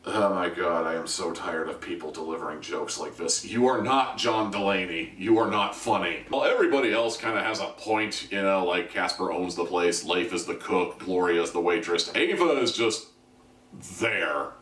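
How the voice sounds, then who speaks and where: A man's voice: sits low, normal speed, fairly bright tone. A man giving a casual spoken video review in a wry, self-deprecating, conversational way. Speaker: reviewer, host of an audio drama review video series. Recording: roomy echo, distant from the mic.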